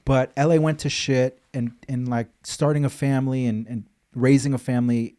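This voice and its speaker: A man's voice: medium pitch, steady tone, moderate pace, warm tone. A man in his thirties from Los Angeles, hosting a podcast and chatting candidly, swearing casually. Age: 30s. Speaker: podcast host, financial advisor. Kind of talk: casual interview chat.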